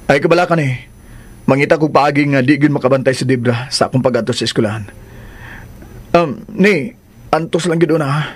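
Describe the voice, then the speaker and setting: An actor with a Cebuano accent playing a secretive, placating unfaithful husband who speaks earnestly in a radio drama. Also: male voice, medium pitch, fairly quick, pauses often, a little hiss or hum, natural voice. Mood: placating, furtive.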